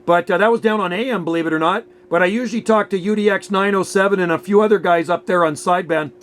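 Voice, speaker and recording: A man's voice: high voice, fast, a bit bright, slight background noise. A man chatting casually as a radio operator, chummy and slangy. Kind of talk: casual radio contact chat.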